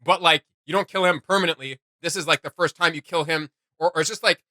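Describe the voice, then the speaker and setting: A man hosting a casual reaction commentary, with animated, joking delivery and rambling asides. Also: fairly high pitch, fast talker, dry recording, clean studio sound. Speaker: reaction show host.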